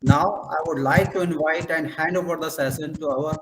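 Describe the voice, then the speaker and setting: A man hosts an online university session, reading a guest's introduction in a formal, steady way with the odd slip. His voice sits a little high for a man. A faint hiss runs underneath. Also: moderate pace. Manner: formal, reads steadily with occasional slips.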